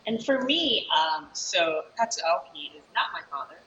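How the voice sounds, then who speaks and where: A woman's voice: fairly low pitch, very animated, normal speed, pushed and bright, lo-fi sound, slight background noise. A woman from Chicago whose first language is Japanese, answering interview questions casually with lots of fillers over a shared mic. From Chicago, USA. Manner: rambling, many fillers. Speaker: guest taiko performer. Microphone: shared mic on a video call.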